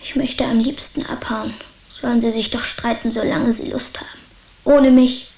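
A young schoolboy in a radio play, with a high voice and colloquial, childlike directness. He can be sulky or eager, and the sound is somewhat roomy. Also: fairly even intonation, moderate pace, vocal fry, a little mellow, in a whisper. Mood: sulky, defiant. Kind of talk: German radio play acting.

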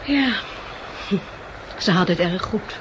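A woman's voice: on the low side, expressive, takes it slowly. An actress playing a sensible, matter-of-fact woman in a 1960s Dutch radio play, on a dull, noisy recording. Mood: calm.

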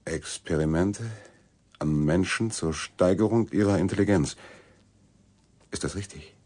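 An actor playing a sceptical, quietly persistent investigator in a German radio drama, with a reserved, questioning delivery. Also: male voice, low-pitched, unhurried.

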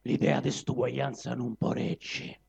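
A smug trained pig argues his case in sly verse, as a man puts him on with a Roman accent. The voice is gravelly. The live recording is dull. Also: mid-range voice, little pitch movement, unhurried, darkish tone, pitched below the performer's own voice, exaggerated voice. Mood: arguing.

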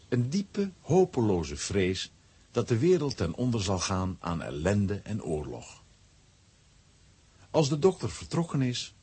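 A man's voice: on the low side, a little mellow, husky, heavily acted. A man narrating a scripted children's audio drama as its storyteller. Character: storyteller relating a comic adventure.

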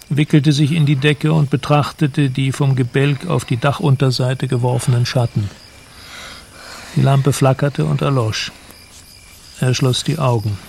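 A man narrating a literary radio play in a measured, solemn manner. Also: medium pitch, creaky, darkish tone, a little hiss or hum.

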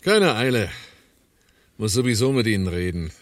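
A man's voice: fairly low pitch, very animated, normal speed. An actor playing a cool, matter-of-fact man in control, a partner in crime with a dryly teasing manner, in a German radio play.

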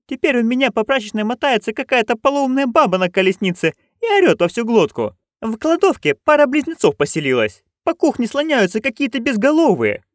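A storyteller voicing a chatty, coarse, bawdy old witch woman who rambles loudly. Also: medium pitch, sing-song, a little fast, few pauses, no room sound, studio quality, pitched above the performer's own voice, natural voice. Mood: gossipy.

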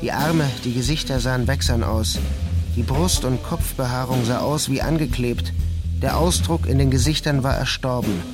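Male radio play narrator, mid-pitched, measured literary reading with instrumental music underneath.

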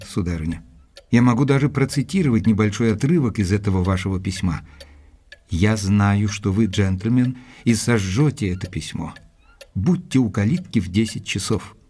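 Man playing a gentleman investigator, mellow, slightly husky, measured, polite, formal, audio drama with music behind. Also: on the low side, lightly acted. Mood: polite, probing. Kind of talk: dramatized audiobook narration and acting.

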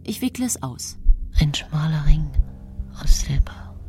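A woman's voice: low-pitched, animated, warm tone, in a whisper, faint music behind. Brooding woman in her thirties confessing. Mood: anxious, watchful. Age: 30s. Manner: intimate, deliberate, theatrical inner monologue. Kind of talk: first-person radio drama narration.

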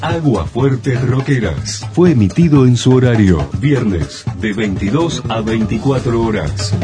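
Un locutor de radio argentino que lee un anuncio promocional con tono cortés y pausado. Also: male voice, medium pitch, animated. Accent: Argentine Spanish. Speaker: radio announcer. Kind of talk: promotional announcement. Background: music bed.

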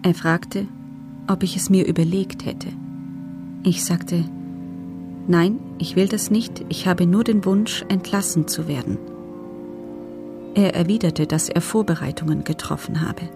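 Creaky-voiced, restrained female prisoner. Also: lowish voice, a little slow, pauses often, over music. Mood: restrained, sober. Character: female prisoner recounting her ordeal, guarded, restrained. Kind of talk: documentary radio play, reading written testimony.

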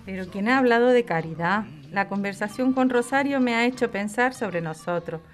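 A woman's voice: mid-range voice, lively intonation, moderate pace, faint music behind, lightly acted. A woman acting an older, practical, affectionate grandmother from Spain in a podcast drama, speaking frankly and coaxingly. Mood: fond, frank. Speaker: actor in an audio drama.

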